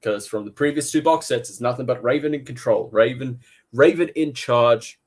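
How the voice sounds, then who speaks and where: A man co-hosting a casual review podcast, talking haltingly and repeating phrases as he thinks aloud. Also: medium pitch.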